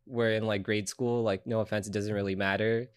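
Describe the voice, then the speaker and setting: A man from Ecuador, a podcast guest, chatting casually in a relaxed, rambling way with lots of fillers. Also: lowish voice, a little fast, clear, resonant tone. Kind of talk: casual chat. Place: podcast studio.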